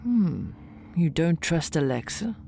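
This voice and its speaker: A woman acts as a calm, formal female elder in a fantasy drama. She speaks slowly in a slightly low voice. Her diction is measured and questioning, with faint noise behind. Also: gravelly, exaggerated voice. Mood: calm, grave. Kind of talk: fantasy audio drama dialogue.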